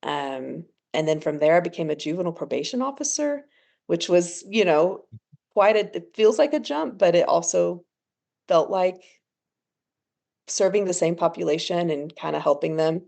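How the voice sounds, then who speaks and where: A woman's voice: on the low side, expressive, moderate pace, vocal fry, a little mellow. A woman in her thirties from Ecuador, a counselor chatting as a podcast guest and laughing often. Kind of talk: casual podcast interview.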